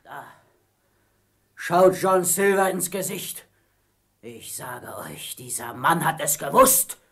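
High, swooping pirate mutineer, excitable, superstitious, vengeful, radio play. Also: male voice, slow, heavily acted. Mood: angry, accusing. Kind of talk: German radio play acting.

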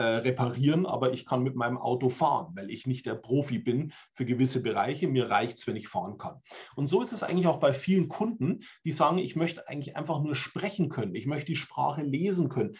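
A man's voice: medium pitch, lively intonation, brisk, darkish tone. A German man in his forties giving an explanatory online presentation on language learning, often adding "ne?" to check in with listeners. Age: 40s. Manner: explanatory, uses tag questions like "ne?". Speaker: language trainer. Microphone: webcam on video call.

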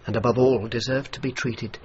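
Actor as earnest army officer, muffled noisy recording. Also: male voice, medium pitch, fairly even intonation, a little mellow, close to an everyday voice. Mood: earnest, persuasive. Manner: formal, measured, persuasive. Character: earnest, determined male army officer and former newspaperman. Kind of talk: radio drama dialogue and narration. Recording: muffled radio recording with clear noise.

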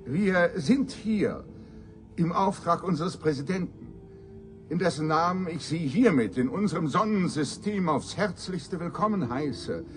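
Pompous research professor, radio play. Animated mid-range voice, florid phrasing, music underneath. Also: male voice, a little high, a little slow. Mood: awed, solemn. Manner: florid, theatrical, formal. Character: eloquent, pompous male professor of extraterrestrial research. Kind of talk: German radio drama acting.

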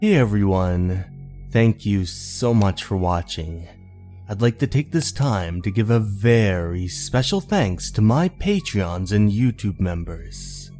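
Deep voiced guy narrating scary stories, slow and theatrical but really expressive. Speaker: narrator, channel host. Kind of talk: dramatic scripted horror story narration. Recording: music behind the voice.

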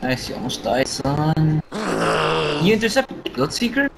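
Excitable young gamer guy. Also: on the high side, unhurried, heavy background noise. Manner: excitable, swearing, yelps and laughs. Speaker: gamer in voice chat. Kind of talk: casual chat. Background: game music.

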